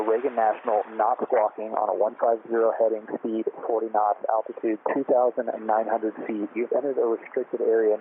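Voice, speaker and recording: A man working as a military air defense controller gives formal, procedural warnings in clipped aviation phraseology over the radio. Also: lowish voice. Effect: aviation radio transmission. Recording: tinny, noisy aircraft radio channel.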